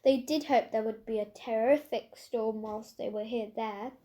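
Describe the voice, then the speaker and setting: A woman narrates a children's storybook. Her voice is high-pitched and her reading is expressive, like an audiobook. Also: measured pace. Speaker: storybook reader.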